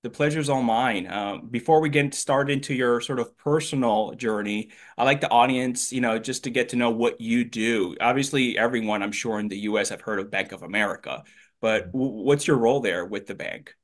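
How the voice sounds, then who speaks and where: Host, middle-aged man, relaxed and rambling, mid-pitched. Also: moderate pace. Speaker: show host. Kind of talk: conversational interview.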